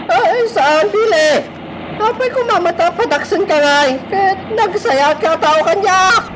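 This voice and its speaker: A woman's voice: extremely high pitch, expressive, measured pace. An actress with a Filipino Ilocano accent playing a complaining, teasing female rebel in a radio drama, whiny and full of exclamations. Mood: teasing, defensive. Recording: noisy outdoor and vehicle ambience. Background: vehicle and outdoor noise.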